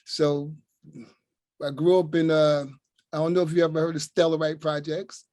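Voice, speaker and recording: A man's voice: fairly high pitch, little pitch movement, moderate pace, a little mellow, no echo. An older man from Newark, a retired coach, telling easygoing stories about his childhood over a video call. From Newark, New Jersey. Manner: easygoing, leans on "you know what I'm saying". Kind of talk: relaxed storytelling.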